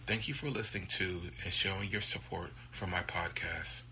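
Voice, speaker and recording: A man's voice: sits low, fairly even intonation, moderate pace, loud music behind the voice, low-quality recording. A man hosting a podcast, reading a scripted thank-you sign-off over background noise. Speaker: podcast host. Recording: clear ambient noise behind the voice.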